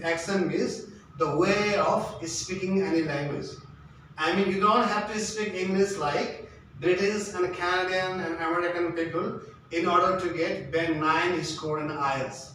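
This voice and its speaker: A man's voice: high voice, unhurried, a bit bright. A man giving an instructional English lesson as a tutor, in an emphatic, repetitive, question-and-answer manner. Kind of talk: instructional lecture. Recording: echoey room, distant mic, faint noise.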